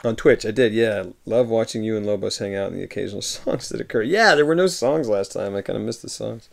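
Thirties man, deeply gravelly, easygoing streamer voice answering chat from his home room on a webcam mic. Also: fast. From United States. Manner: reads viewer messages aloud and replies warmly, often laughing. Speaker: livestreamer reading chat. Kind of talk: casual chat. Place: home room with shelves of films.

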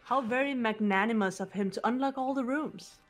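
A woman voicing a cool, composed teenage girl detective in a visual novel dub, measured and analytical. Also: fairly high pitch, faint music behind, lightly acted. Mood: calm, analytical.

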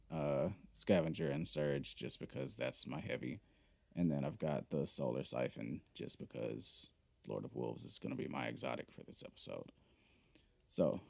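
A man's voice: extremely low pitch, vocal fry, dark tone, no echo. A man hosting a gaming video, chatting casually and rambling with lots of fillers as he plays. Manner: laid-back, rambling, many fillers. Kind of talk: casual gameplay commentary.

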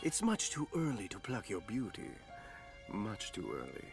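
An actor playing a vain, sadistic masked assassin in a video game, speaking in a theatrical, languid, disdainful way. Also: male voice, fairly low pitch, huge pitch swings, measured pace, creaky, exaggerated voice. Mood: rapt, languid. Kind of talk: video game cutscene acting. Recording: loud game music behind.

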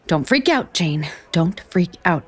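An actress playing an overwhelmed, sarcastic, foul-mouthed author in an audio romantic comedy. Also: female voice, on the low side, very animated, unhurried, a bit bright, husky, exaggerated voice. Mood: flustered. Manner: sarcastic, exasperated.

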